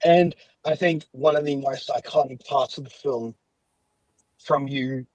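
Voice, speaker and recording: A man's voice: mid-range voice, steady tone, a little slow, darkish tone. A man hosting a casual podcast interview, chatty and often echoing back what his guest has said. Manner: chatty, rephrases and echoes guest's answers.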